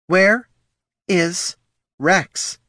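A man's voice: high-pitched, normal speed, sharp tone, no echo, clean studio sound, pitched above the performer's own voice. A man voicing a fierce, harried female gnoll mother who snarls and barks orders. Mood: frantic.

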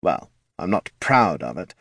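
A haughty undead wizard, performed by a male narrator, explains himself in pedantic, self-justifying phrases. His delivery swings widely in pitch, as an aggrieved fantasy storybook villain, in a dry recording. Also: very animated, measured pace, a bit bright, no echo, heavily acted. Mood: awkward, defensive.

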